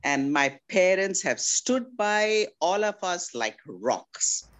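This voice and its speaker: A woman's voice: lowish voice, big pitch swings, slow, heavy background noise. A woman in her sixties from India, a guest in a casual family interview, who talks affectionately and calls people darling.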